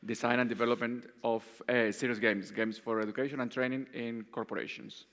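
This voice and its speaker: A man's voice: mid-range voice, steady tone, a little fast, fairly bright tone. A man from Venezuela speaking informally as a panelist, introducing himself to an audience. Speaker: panelist, serious games designer. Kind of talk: informal live self-introduction. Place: conference hall, before an audience. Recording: echoey hall with long reverb.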